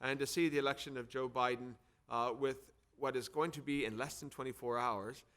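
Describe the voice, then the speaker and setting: A man's voice: medium pitch, steady tone, fairly quick, a bit bright. Emphatic middle-aged American man at a public address. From United States, Arizona. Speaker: think tank head hosting and introducing speakers. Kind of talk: semi-formal public address, partly off the cuff.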